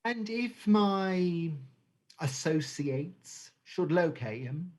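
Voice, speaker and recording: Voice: mid-range voice, expressive, very slow, darkish tone. An actor playing a sly, menacingly courteous male crime boss in a stage play reading, theatrical and mock-polite. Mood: guarded.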